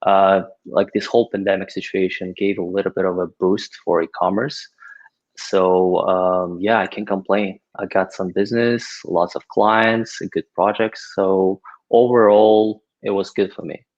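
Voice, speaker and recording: Man in his thirties, gravelly and hesitant, muffled audio; podcast guest. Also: low-pitched, steady tone, a little slow, darkish tone. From Ukraine. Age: 30s. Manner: hesitant, many filler words. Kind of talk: casual interview answers. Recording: dull lo-fi audio.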